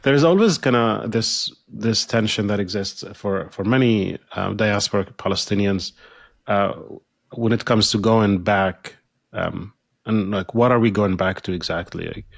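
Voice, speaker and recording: A man hosting a podcast interview, asking questions with lots of halting restarts and fillers. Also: fairly low pitch, vocal fry, no echo. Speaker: podcast host. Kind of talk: conversational interview.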